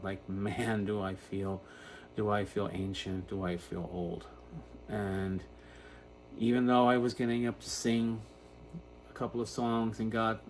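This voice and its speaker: Casting: male vlogger, fifties, lowish voice, halting and introspective, speaking off the cuff, faint noise behind him. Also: darkish tone, low-quality recording. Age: 50s. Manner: halting, self-reflective, repeats words. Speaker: video creator talking about personal issues. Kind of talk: unscripted personal monologue to camera. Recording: noticeable background noise.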